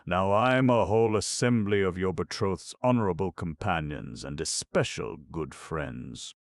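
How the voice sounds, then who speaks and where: A witty young prankster introduces his tricks with theatrical flair, voiced by a man reading a storybook. The voice is very deep and gravelly. It is recorded close and dry. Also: animated, normal speed, dry recording. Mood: playful. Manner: showy, theatrical. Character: witty young male jester, performing.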